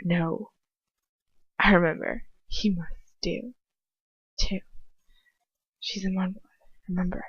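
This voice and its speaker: A woman's voice: lowish voice, steady tone, slow pace, pauses often, breathy edge, no room sound, dull audio. A woman narrating a first-person story aloud in an informal way, stumbling and slipping into asides. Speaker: amateur fan fiction reader.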